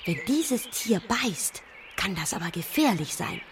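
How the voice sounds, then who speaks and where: An actress playing a naive, pert young princess in a children's radio play. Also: female voice, medium pitch, very animated, unhurried, recorded from a distance, background noise. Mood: instructive.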